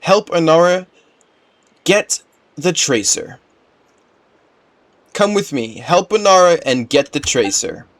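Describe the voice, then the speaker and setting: A man's voice: a little high, big pitch swings, slow, long pauses, full character voice. A man voicing a small timid forest spirit in a video game, with simple, childlike phrasing. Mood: coaxing.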